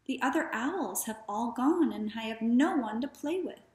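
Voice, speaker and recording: A woman's voice: on the high side, expressive, normal speed, darkish tone, lightly acted. A woman voicing a young, sleepy female owl in a storybook, friendly and plaintive. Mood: wistful. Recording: echoey living room.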